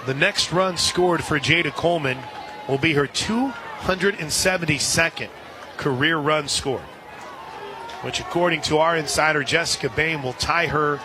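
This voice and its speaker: An American man calling live softball play-by-play on the radio, slipping into conversational asides between pitches. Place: outdoor softball stadium. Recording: noisy outdoor stadium broadcast, loud crowd. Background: crowd and stadium noise.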